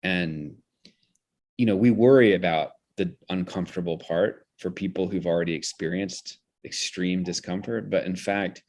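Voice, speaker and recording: A man with a low-pitched voice talks as an interview guest. He is articulate and intellectual, thinking ideas through aloud and sometimes restarting a phrase as he searches for wording. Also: moderate pace, darkish tone. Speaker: interview guest, theater director. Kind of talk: reflective conversational explanation.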